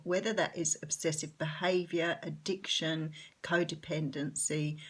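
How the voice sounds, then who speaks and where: Australian woman, low and a bit croaky, reflective solo tarot reader. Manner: gentle, reflective, frequent ums. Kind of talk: solo tarot reading, explaining cards.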